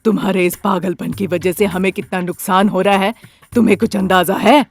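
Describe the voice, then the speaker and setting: A woman's voice: mid-range voice, steady tone, fairly quick, close to an everyday voice. A woman voicing a stern older mother-in-law who talks bluntly and suspiciously in a story. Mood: angry scolding. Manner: scolding, blunt.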